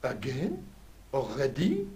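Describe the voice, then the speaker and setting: An actor playing a suspicious French guard who speaks in a clipped, impatient way with a French accent. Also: male voice, medium pitch, huge pitch swings, slow, mellow, full character voice. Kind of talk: game voice acting.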